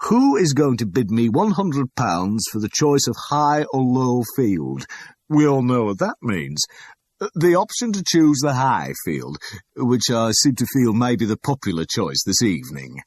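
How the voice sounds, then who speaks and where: A man voicing a showy, jovial male auctioneer with grand, booming patter in an audiobook. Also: big pitch swings, unhurried, darkish tone, slightly rough, no echo.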